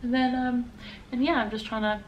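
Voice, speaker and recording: A woman with a British accent and a hoarse voice, chatting casually and ramblingly as a knitting vlogger. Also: fairly high pitch, fairly even intonation, normal speed, muffled recording, a little hiss or hum. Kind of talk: casual vlog chat.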